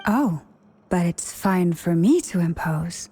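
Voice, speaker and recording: An actress playing a gentle young songstress in a video game, soft in manner and mildly teasing. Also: female voice, on the low side, expressive, takes it slowly, faint music behind. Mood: mildly put out. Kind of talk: video game character acting.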